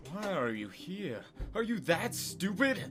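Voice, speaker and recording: Man acting a sarcastic, exasperated, higher-voiced grumbler. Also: big pitch swings, unhurried, loud music behind the voice. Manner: scolding, sarcastic. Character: exasperated, sarcastic reluctant male ally of a fugitive. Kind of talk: audio drama acting.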